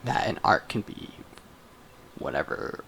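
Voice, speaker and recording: A man's voice: unhurried, a bit bright. A man giving casual, off-the-cuff commentary over a video game, muttering and trailing off. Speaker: gamer commenting over a playthrough.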